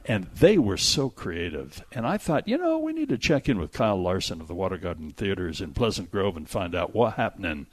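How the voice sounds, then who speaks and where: A man's voice: low voice, very animated, a little fast, hardly any pauses. An American man hosting a radio show about movies, chatting in a casual, jokey and rambling way. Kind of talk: casual movie review chat.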